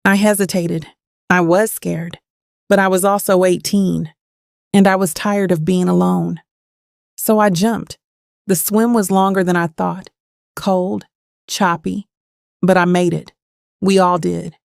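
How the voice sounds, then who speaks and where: A haunted thirty-something woman played by an actress tells her own story of old wounds. Her voice sits at a middling pitch with a gravelly fry, recorded close and dry. She is bitter and brooding, in the manner of an audio thriller. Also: flat delivery, unhurried, pauses often, a little mellow, dry recording. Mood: uneasy. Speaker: narrator and lead of an audio drama. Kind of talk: first-person dramatic scripted narration.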